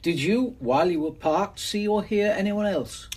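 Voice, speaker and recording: An actor playing a composed, probing police detective in a British radio drama, formal, precise and insistent. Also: male voice, high voice, normal speed, darkish tone, husky.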